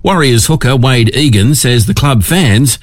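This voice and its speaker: Dry-sounding Australian sports reporter. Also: male voice, medium pitch, animated, no echo. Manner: brisk, formal reporting.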